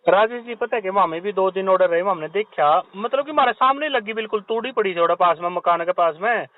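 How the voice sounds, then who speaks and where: A man's voice: high-pitched, fairly quick, no echo. A man, speaking conversationally as a reporter asking questions in a phone interview. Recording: tinny phone-call line.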